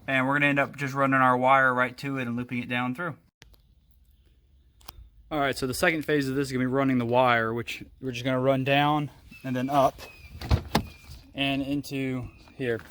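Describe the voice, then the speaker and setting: A man's voice: medium pitch, flat delivery, normal speed, sharp tone, a little hiss or hum. A man hosting a casual how-to video, explaining step by step as he demonstrates. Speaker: host of a DIY vehicle video.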